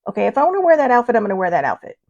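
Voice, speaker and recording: A woman's voice: on the high side, huge pitch swings, rapid-fire, darkish tone, muffled recording. A woman in her fifties hosting a casual livestream, chatty and rambling, with lots of fillers and restarts as she answers viewers. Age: 50s. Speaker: host.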